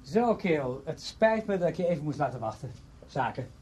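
A man's voice: a little high, big pitch swings, brisk, a little mellow, a little hiss or hum. A man acting in a radio play as a cynical, self-justifying friend, glib and emphatic. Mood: breezy.

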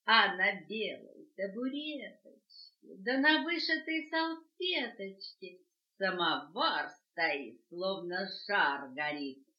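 An older woman, a grandmother, reading a children's verse tale aloud with lively, rhythmic delivery, recorded at home on cassette. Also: medium pitch, slow. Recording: dull echoey home cassette.

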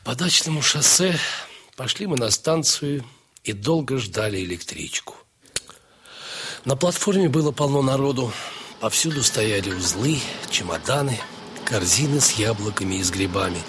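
An actor playing a playful, warm-hearted dog owner who tells his own story in a theatrical, expressive audio dramatization. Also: mid-range voice, normal speed, a little hiss or hum, natural voice. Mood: wistful. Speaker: narrator and dog's owner in a story. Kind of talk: dramatized first-person story narration.

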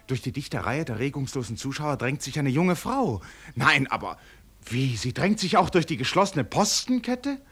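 A man's voice: mid-range voice, sing-song, normal speed, fairly bright tone, slightly rough. A man playing a flustered eyewitness narrator in a radio play, theatrically exclaiming and repeating himself like a live commentator. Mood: astonished, indignant. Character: flustered, excitable male eyewitness narrator at a parade.

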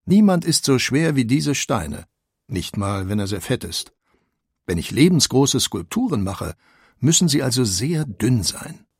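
Reflective artist in an audio portrait: husky, expressive pitch, deliberate and searching delivery. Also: male voice, no room sound, studio quality, full character voice. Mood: critical, musing. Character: reflective, searching male artist musing on his work. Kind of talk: acted reading of an artist's quotations.

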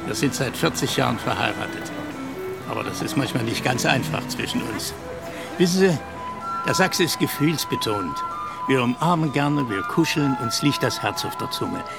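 A man's voice: medium pitch, lively intonation, moderate pace, creaky, loud music behind the voice. An actor in his sixties playing a chatty, sentimental Saxon husband in a radio drama, from Saxony, talking in a confiding way. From Saxony, Germany.

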